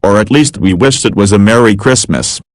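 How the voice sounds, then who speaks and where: A man's voice: on the low side, normal speed, no room sound, close to an everyday voice. A man playing an overheated radio host reporting on a disaster in an amateur audio drama. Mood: uneasy, reporting.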